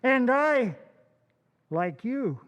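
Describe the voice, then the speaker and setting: Man acting a gruff old grandfather, high-pitched. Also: big pitch swings, fairly bright tone, full character voice. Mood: courtly. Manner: slow, formal, emphatic. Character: gruff, grim old grandfather, courtly underneath. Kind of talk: old-time radio drama acting.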